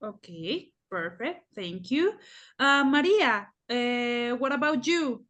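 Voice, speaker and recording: A young adult woman running an oral English exam, asking measured questions and guiding the candidates. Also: a little high, lively intonation, a bit bright.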